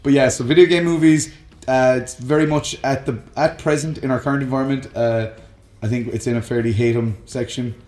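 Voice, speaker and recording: A man's voice: medium pitch, fairly even intonation, a little fast, darkish tone. A man co-hosting a casual film chat, slipping into imagined quotes as he makes his points. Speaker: co-host. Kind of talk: casual banter about films. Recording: roomy echo, faint music. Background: faint music.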